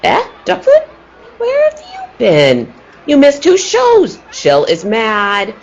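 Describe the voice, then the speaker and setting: An actress playing an expressive female circus performer in an old-time radio play, with drawn-out delivery. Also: on the high side, vocal fry, heavily acted. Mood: surprised, wary. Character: Faye, soothing, theatrical female circus performer. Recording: noisy, dull call audio.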